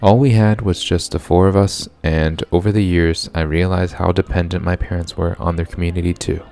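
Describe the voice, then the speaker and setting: A man in his late twenties with an American accent, narrating a reflective personal vlog in an earnest, measured way. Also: sits low, little pitch movement, dark tone. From born in Hong Kong, grew up in Southern California from age seven. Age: late 20s. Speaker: vlogger. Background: faint background music.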